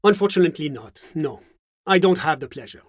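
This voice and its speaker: An actor playing a suave, evasive government bureaucrat in a video game, with a French accent and a formal, polite, measured delivery. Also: male voice, fairly high pitch, expressive, no echo, clean studio sound. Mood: smooth, evasive. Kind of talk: scripted game character dialogue.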